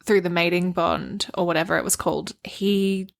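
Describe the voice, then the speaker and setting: Woman with lowish voice, casual chat. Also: steady tone, normal speed, creaky. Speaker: book podcast co-host. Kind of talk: casual book discussion chat.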